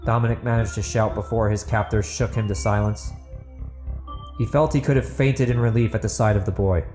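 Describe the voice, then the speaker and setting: A man with a mellow, relaxed voice hosts a fiction podcast. He moves between dramatic audiobook narration and chatty, enthusiastic talk to listeners, with music playing softly behind him. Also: on the low side, a little fast. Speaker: podcast host and author reading his own novel.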